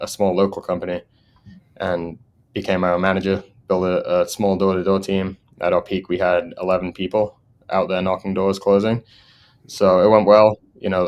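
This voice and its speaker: A man's voice: sits low, smooth. A man in his thirties with an English accent that has gone partly American, telling stories as a podcast guest and laughing often. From England. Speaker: guest, solar sales manager. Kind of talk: casual podcast interview.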